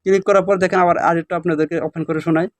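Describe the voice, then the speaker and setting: A man's voice: a little high, little pitch movement, rapid-fire, no room sound. A man narrating a step-by-step app tutorial, repeating himself and often checking that the viewer follows. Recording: voice over plucked guitar music. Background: plucked guitar music.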